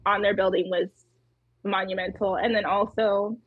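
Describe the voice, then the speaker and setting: A woman with a small, tiny voice, chatting casually as an interview guest, laughing often and pausing with fillers. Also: mid-range voice, level tone, husky, no room sound, narrow, tinny audio. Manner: giggly, hesitant with many fillers. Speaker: muralist guest.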